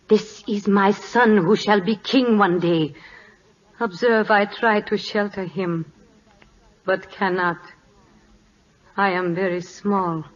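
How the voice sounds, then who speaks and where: A solemn, dignified first wife of a Siamese king played by an actress. She speaks very slowly in measured broken English. The 1940s radio recording is dull, with faint noise. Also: mid-range voice, natural voice.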